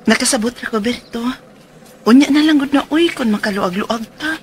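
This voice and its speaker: Hopeful young widow, high-voiced. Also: female voice, normal speed, breathy edge. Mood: coy, hopeful. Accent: Cebuano Filipino. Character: widowed mother of two, Marga, hopeful and eager. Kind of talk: radio drama acting.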